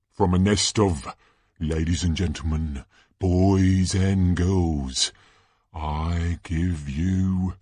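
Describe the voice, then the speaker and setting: A man voicing a flamboyant, gushing tournament announcer in a storybook reading, with booming, drawn-out delivery. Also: a bit bright, dry recording, heavily acted. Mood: showy, suspenseful. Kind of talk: stage-play sports commentary read aloud.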